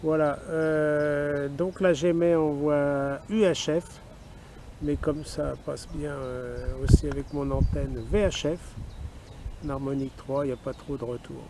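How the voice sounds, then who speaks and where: A man with a French accent makes a radio contact in a creaky, slightly raised voice. He talks as an amateur operator outdoors, with clear nature noise and birdsong around him. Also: on the high side, fairly even intonation, measured pace. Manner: spells call signs in phonetic alphabet. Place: outdoors in a wooded park.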